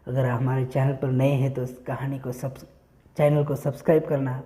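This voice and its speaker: A man's voice: medium pitch, flat delivery, moderate pace, dark tone. A man telling a moral story and addressing listeners directly, in simple, repetitive, explanatory phrasing. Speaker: storyteller and channel host.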